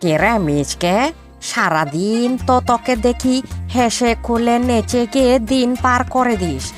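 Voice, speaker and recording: A woman's voice: on the high side, unhurried, close to an everyday voice. A woman voicing a nosy male animal friend in a cartoon, with a teasing, incredulous manner. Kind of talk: Bengali cartoon voice acting. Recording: music under the voice.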